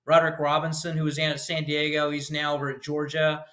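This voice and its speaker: Bright, forceful man talking football. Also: on the high side, completely flat delivery, quick pace. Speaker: guest, local sports network game broadcaster. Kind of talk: conversational football talk.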